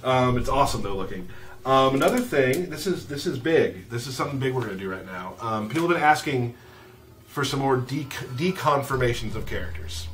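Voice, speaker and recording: A man hosting a casual live question-and-answer chat in an easygoing, joking, deadpan way. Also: medium pitch, fairly quick, far from the mic. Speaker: livestream host from a game studio. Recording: faint background noise.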